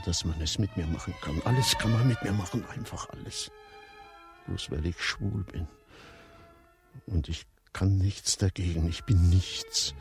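A man's voice: sits low, natural voice. An actor playing a fearful, self-loathing man in a radio drama, giving an intimate inner monologue. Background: music.

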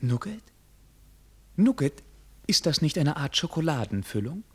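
Radio drama: naive, haughty royal prince. Higher-pitched, animated, formal and stilted with affected hesitations. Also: male voice, a little slow, slightly rough, full character voice. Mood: puzzled, impressed. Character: haughty, naive German prince of the ruling house. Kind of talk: German radio play acting.

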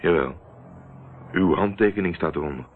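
A shrewd investigator in a radio drama, with a very low voice and dry, probing delivery. He teases and presses in a controlled way. The sound is narrow and muffled like an old radio tape. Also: normal speed. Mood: probing, firm. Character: shrewd, teasing male investigator, calmly pressing. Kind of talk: radio play acting.

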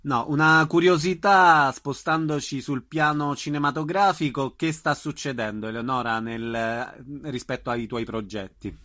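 A man works as the interviewer in an informal phone conversation. His voice sits at a middle pitch with a bright, forward tone. The sound is that of a radio-style telephone interview. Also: a little slow, hardly any pauses.

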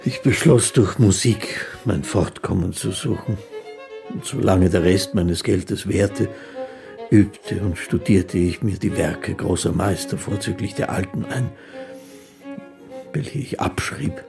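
Deep gravelly male voice, humble melancholy old-world musician, reflective measured narration, literary radio drama, faint music behind. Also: full character voice. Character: melancholy, humble male street musician recounting his life. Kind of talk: first-person narration in a literary radio play.

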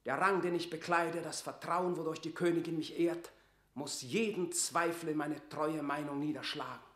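An actor playing a haughty, scheming earl in a classical verse radio drama, declamatory and pompous. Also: male voice, fairly high pitch, fairly even intonation, normal speed, a bit bright, roomy sound, close to an everyday voice. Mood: proud, defensive. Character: haughty, scheming earl, a self-assured courtier.

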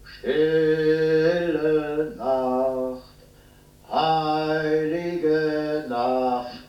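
An actor playing a lonely old Austrian communist who dwells solemnly on the past, in a radio play. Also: male voice, mid-range voice, lo-fi sound, lightly acted. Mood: singing solemnly. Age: older. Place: large echoing empty hall. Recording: echoing, distant radio play recording.

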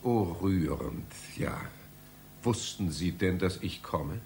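A bewildered, hurt man in a radio play, with a gravelly, creaky voice. He takes his time, muttering and groaning, yet his pitch moves freely. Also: expressive, slow, a little mellow, a little hiss or hum, heavily acted. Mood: dazed, pained. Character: bewildered, injured male visitor lost in a strange library. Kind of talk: German radio play acting.

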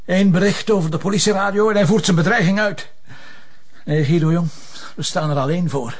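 An actor playing a hot-tempered, anguished police commissioner with a Flemish accent and a hoarse voice, curt and bitter. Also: male voice, high-pitched, brisk. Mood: desperate. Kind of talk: TV crime drama acting.

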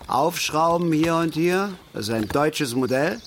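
An actor playing a middle-aged East German working-class angler, blunt, folksy and grumbling, in a naturalistic radio play. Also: male voice, fairly high pitch, lively intonation, unhurried, a little hiss or hum. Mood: gruff, sardonic.

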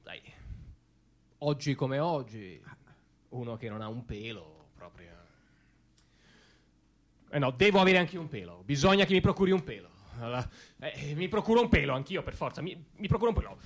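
Man acting a neurotic, high-pitched everyman. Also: expressive. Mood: musing, envious. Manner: halting, muttering, building to frantic exclamations. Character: neurotic, self-deluding everyman, anxious and easily queasy. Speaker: stage monologist. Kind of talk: theatrical comic monologue, Italian theatre-song.

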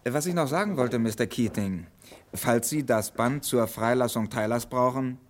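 An actor playing a brisk, commanding police inspector in a German radio crime play, curt and sardonic. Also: male voice, mid-range voice, close to an everyday voice.

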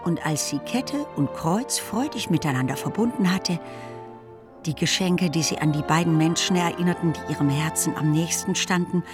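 A woman's voice: fairly low pitch, moderate pace. Female narrator over music. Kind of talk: scripted literary narration in a radio play.

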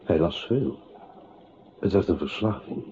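On thin, noisy audio, a male narrator with a fairly low voice that swings widely in pitch. He delivers literary radio drama expressively, with a wry edge. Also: lively intonation, measured pace, mellow. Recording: tinny band-limited audio with clear noise.

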